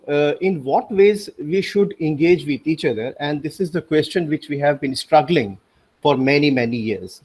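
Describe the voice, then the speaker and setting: A middle-aged man with an Indian accent, a native Hindi speaker, giving a measured academic talk on a video call through a headset, with frequent hesitations. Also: high voice, darkish tone, slightly rough. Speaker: panel speaker. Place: home room.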